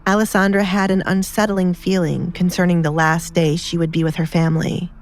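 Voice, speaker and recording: A woman's voice: on the low side, fairly even intonation, fairly quick. A woman reading scripted story narration as an audiobook narrator. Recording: music behind the voice.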